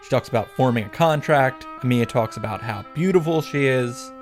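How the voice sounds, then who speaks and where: A man's voice: medium pitch, brisk. A man delivering a scripted comedic recap commentary in a wry, profane, mock-grandiose manner. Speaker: visual novel reactor and narrator. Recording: background music under the voice.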